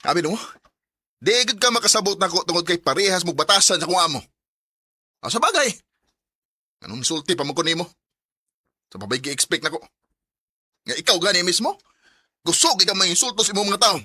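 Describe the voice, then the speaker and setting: An actor with a Cebuano Filipino accent playing a proud, wounded young boyfriend in a radio drama. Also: male voice, high-pitched, very animated, fairly quick, pauses often, bright, forceful tone, slightly rough, no room sound, clean studio sound, full character voice. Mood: hurt, indignant.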